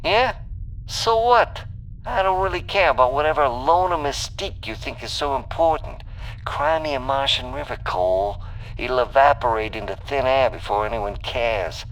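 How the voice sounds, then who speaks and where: A man's voice: medium pitch, big pitch swings, moderate pace, creaky, fairly bright tone, slight background noise, pitched above the performer's own voice, poor audio. A man voicing a smug, curt, pushy job broker on a call in a science fiction audiobook. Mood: scornful.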